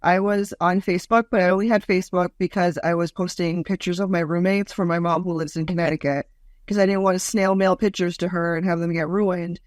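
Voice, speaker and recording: A woman in her forties with a deep voice, a comedian guest telling stories in a lively, mocking way. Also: brisk, dry recording, studio quality. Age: 40s. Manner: lively, storytelling, mocking asides. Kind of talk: casual interview chat.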